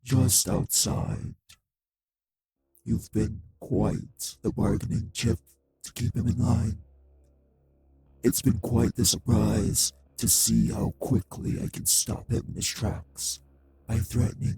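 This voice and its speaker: A man playing a cruel, taunting male vampire in an audio drama, with a husky, gravelly voice and a slow, mockingly polite manner. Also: mid-range voice, little pitch movement, a little mellow, heavily acted. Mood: quiet threat. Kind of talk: scripted audio roleplay drama.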